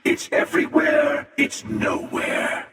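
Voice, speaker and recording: An older man playing an ancient, sardonic and menacing starfaring hunter in a video game, with a gravelly, wry, taunting drawl. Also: very high voice, monotone, slow pace, fairly bright tone, shouting, recorded from a distance, heavily acted. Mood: wry, condescending. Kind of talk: scripted video game character dialogue. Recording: cavernous reverb, voice set back from mic.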